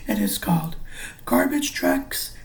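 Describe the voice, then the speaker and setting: A man reading a picture book aloud as a teacher, explaining things simply and deliberately for young children. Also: on the low side, wildly swooping pitch, whispered. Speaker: preschool or early grade teacher.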